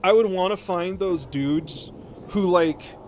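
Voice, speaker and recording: A man hosting a livestream, rambling casually in an animated, sarcastic, sweary storytelling way. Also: high voice, slow pace, slight background noise. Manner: acts out mocking quotes. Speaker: livestream host.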